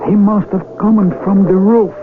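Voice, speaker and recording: High-voiced earnest villager. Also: male voice, level tone, measured pace. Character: earnest, deferential blind male villager, gentle and obedient. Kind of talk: 1970s radio drama acting. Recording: noisy, tinny old radio broadcast.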